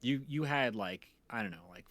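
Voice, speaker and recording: A man in casual conversation as a co-host, talking in a halting, reflective, self-correcting way. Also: medium pitch, lively intonation, a bit bright. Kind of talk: casual conversation about audio mixing.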